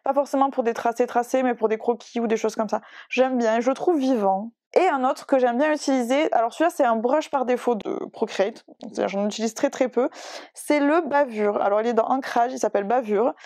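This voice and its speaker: A woman's voice: on the high side, a little fast, a little mellow, dry recording, clean studio sound. A young woman illustrator giving a casual drawing tutorial, chatty and self-deprecating, with many hesitations. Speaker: digital illustrator.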